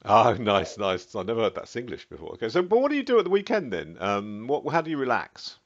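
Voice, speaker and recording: Low-pitched host, chatty, lifts his voice on questions, muffled sound. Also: wildly swooping pitch, fast. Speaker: interviewer. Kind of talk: casual interview. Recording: muffled lo-fi recording.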